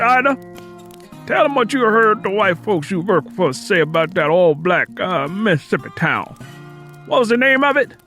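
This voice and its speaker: A man's voice: high-pitched, sharp tone, pitched above the performer's own voice, heavily acted. A man playing a bitter, stubborn older man in an audiobook, lecturing in folksy Southern US dialect. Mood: prodding. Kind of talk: acted audiobook character dialogue. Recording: music playing under the voice.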